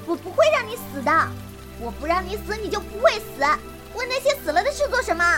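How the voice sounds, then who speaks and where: A woman plays a stubborn young wild girl in a wuxia radio play, with a very high, childlike voice. She is curt and bossy yet protective, over background music. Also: big pitch swings, fast talker. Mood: irritated. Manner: curt, brusque, bossy but caring. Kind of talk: Chinese period wuxia audio drama acting.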